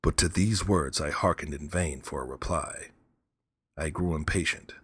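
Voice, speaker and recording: A man narrating a gothic story as a cold, vengeful murderer, measured and grave. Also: very deep voice, fairly bright tone, slightly rough, full character voice. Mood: grim. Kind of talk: dramatic gothic first-person narration.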